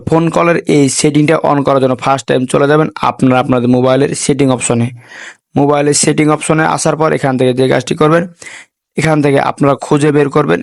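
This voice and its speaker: A man narrates step-by-step instructions to viewers at a rapid clip. His voice sits a little high for a man, and he mixes English words into repeated stock phrases. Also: creaky, no room sound, studio quality. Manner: repetitive set phrases, peppered with English words. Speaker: tech tutorial host. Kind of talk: instructional voice-over addressing viewers.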